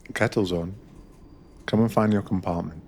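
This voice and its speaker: A man narrating a soothing ASMR guided meditation as an attentive sleeper train attendant, gentle and unhurried. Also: deep voice, fairly even intonation, slight background noise.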